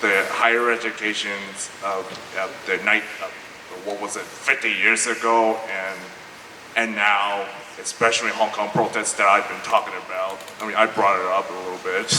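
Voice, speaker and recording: Halting low-voiced man in the audience. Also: level tone. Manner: halting, searching for words. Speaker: audience member. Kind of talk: audience question at panel. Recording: noisy echoey hall, distant mic.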